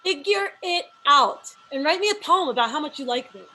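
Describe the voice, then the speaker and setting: A needy, bossy actress girlfriend played by a woman in a comedy table read. Her voice is sharp and a little high, over faint background noise. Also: animated, brisk, rough recording. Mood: demanding.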